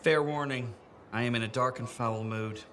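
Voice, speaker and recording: An actor playing a fussy, earnest safety officer in a fort. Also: male voice, mid-range voice, steady tone, normal speed, fairly bright tone, slight background noise, lightly acted.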